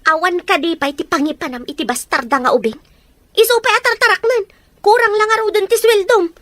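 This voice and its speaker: A woman's voice: extremely high pitch, a little fast, a bit bright, no room sound. An actress playing a bitter wife who resents her husband's child in a radio drama. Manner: bitter, accusing.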